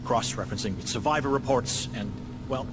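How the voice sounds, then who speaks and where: Guy doing a fussy detached lab doctor for a game, formal and halting, noisy hum behind him. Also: mid-range voice, close to an everyday voice. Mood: clinical, fascinated. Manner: hesitant, formal, pedantic. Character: fussy, detached male scientist doctor fascinated by specimens. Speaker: video game actor. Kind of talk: cinematic game dialogue.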